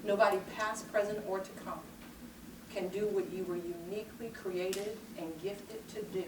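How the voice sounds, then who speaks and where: A woman's voice: medium pitch, steady tone, normal speed. A middle-aged woman giving a motivational keynote and answering questions in a confident, emphatic, rhythmic way. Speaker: keynote speaker. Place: large conference hall. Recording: roomy hall sound, distant mic, noisy.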